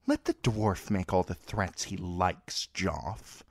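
A man's voice: low voice, slow pace, creaky, no echo, exaggerated voice. A man voicing a proud, scornful queen mother who speaks with haughty disdain.

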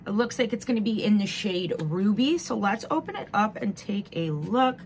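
A woman in her thirties from Michigan, slightly hoarse, chatting casually through a beauty box unboxing at home. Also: medium pitch, lively intonation, normal speed, a little hiss or hum. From Michigan, USA. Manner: chatty, frequent ums and uhs. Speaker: beauty vlogger. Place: home room with wooden wall backdrop.

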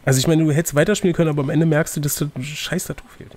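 A man's voice: fairly high pitch, fairly even intonation, very fast, a little mellow. A man reading a fantasy gamebook aloud as game master, with casual, teasing asides.

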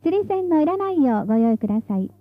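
High-pitched woman making tram announcements, dull audio. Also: sing-song, quick pace, no echo. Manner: formal, polite, carefully enunciated. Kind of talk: scripted transit announcement.

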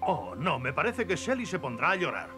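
A man's voice: on the high side, wildly swooping pitch, a little fast, music in the background. A man voicing a stern but caring old gnome captain in a Spanish cartoon dub. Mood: worried.

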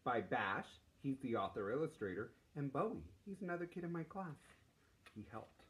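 A man's voice: medium pitch, normal speed, slight background noise. A man in his forties, a teacher reading aloud to children in an encouraging, playful way. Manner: animated, encouraging. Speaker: primary school teacher. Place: small classroom, indoors.

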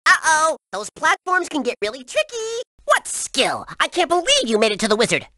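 A woman's voice: high voice, moderate pace, no room sound, studio quality, heavily acted. A woman playing an eager, chatty, slightly boastful helper creature in a video game. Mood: urgent. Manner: lively, chatty. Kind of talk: scripted video game character dialogue.

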